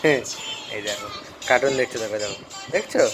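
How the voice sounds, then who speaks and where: A man's voice: medium pitch, expressive, brisk, loud music behind the voice. A man talking casually to viewers in a home vlog, often repeating short phrases. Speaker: family vlogger. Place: at home with a small child.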